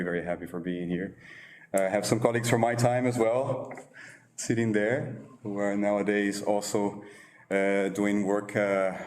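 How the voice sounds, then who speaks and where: A Brazilian man with a clear Portuguese-tinged accent speaks at a book launch, pausing on fillers as he talks. His voice sits somewhat low, and a faint hiss of background noise runs under the livestream. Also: darkish tone. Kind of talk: presentation talk.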